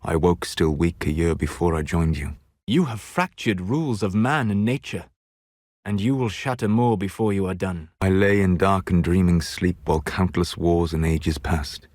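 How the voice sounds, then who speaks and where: A man playing a solemn, learned elven mage in a video game, proud and regretful, speaking in a measured, formal way. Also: low-pitched, darkish tone, no echo, clean studio sound. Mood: solemn, reflective. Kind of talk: scripted game dialogue.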